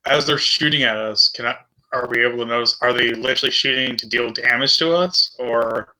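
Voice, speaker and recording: A man's voice: mid-range voice, a little fast, sharp tone, rough recording. A man playing a tabletop game, talking casually with the group as a player.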